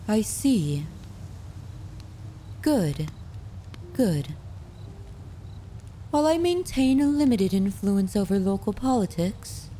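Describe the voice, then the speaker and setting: A woman's voice: medium pitch, dark tone, a little hiss or hum, low-quality recording. A woman voicing a centuries-old noble vampire mistress in an ASMR roleplay, speaking formally with courtly, commanding and wistful poise. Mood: composed, commanding. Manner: formal, unhurried, aristocratic phrasing. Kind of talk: ASMR roleplay audio drama.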